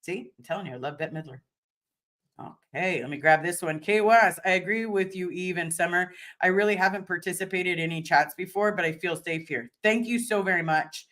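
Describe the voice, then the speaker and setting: A woman with a deep voice, slightly hoarse today, hosting a casual livestream and answering viewer chat in a rambling way, often restarting and repeating words. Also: fairly quick, fairly bright tone.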